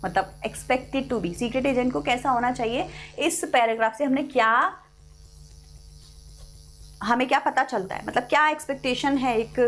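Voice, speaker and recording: A young woman with an Indian accent teaches an English lesson, talking students through the text in a mix of Hindi and English. Her voice sits fairly high. She slows down to read English passages aloud, and soft music plays faintly behind her. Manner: explains in Hindi mixed with English, reads English lines slowly. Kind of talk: live online class explanation.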